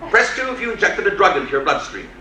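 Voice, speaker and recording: A man voicing a brisk, relentlessly chirpy automated hospital phone menu in sing-song announcements, as part of a comic stage story. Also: high-pitched, big pitch swings, sharp tone, far from the mic, voice pushed up, exaggerated voice. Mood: brightly officious. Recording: echoey, noisy stage recording from afar.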